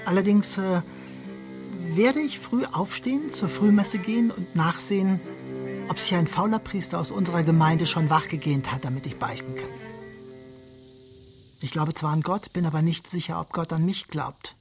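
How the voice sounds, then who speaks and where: A man's voice: high-pitched, normal speed, music in the background. An actor playing a jealous, self-ironic husband, narrating his story in a literary radio drama with theatrical, brooding delivery. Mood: brooding, wry.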